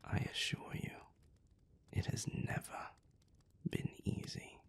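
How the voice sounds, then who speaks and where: A man voicing a haughty, coolly disdainful male vampire, sardonic and formal, in a hushed, breathy audio roleplay performance. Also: takes it slowly, whispered. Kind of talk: dramatic audio roleplay acting.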